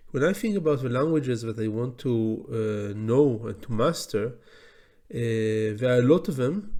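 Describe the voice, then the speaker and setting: A middle-aged man, a native Hebrew speaker from Israel, giving a slow, thoughtful solo talk on learning English, with frequent pauses and fillers. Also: medium pitch, mellow. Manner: thoughtful, many fillers and restarts, slow deliberate phrasing. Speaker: law professor teaching English learning tips. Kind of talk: solo educational talk to camera.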